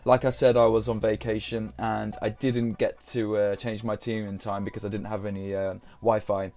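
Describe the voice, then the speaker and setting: A young man, chatting casually on a live stream, slightly croaky from a sore throat, hesitating often with ums and uhs. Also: lowish voice, level tone, moderate pace, a little mellow. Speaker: fantasy football streamer.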